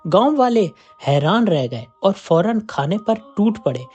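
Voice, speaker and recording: A storyteller reading a scripted moral folk tale in an expressive way. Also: medium pitch, measured pace, husky, lo-fi sound, soft background music.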